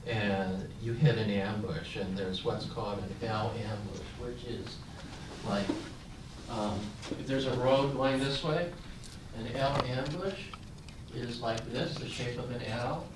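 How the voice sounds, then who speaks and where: A man's voice: medium pitch, slow pace. An older man who grew up in San Francisco, speaking as a veteran on a panel and telling his own story in a reflective way, with frequent fillers and restarts. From San Francisco, United States. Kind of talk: personal storytelling at a panel discussion. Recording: echoey, distant mic with room noise.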